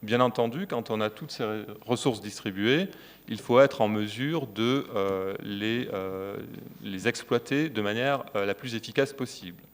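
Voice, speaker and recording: A man speaking as an economist on a conference panel, measured and hesitant, with frequent filler pauses. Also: on the low side.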